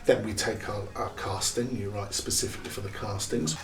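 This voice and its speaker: A man's voice: on the low side, fairly even intonation, fairly quick. A middle-aged British man talking animatedly in an interview about his writing work, slipping into acted-out asides. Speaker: pantomime writer and actor.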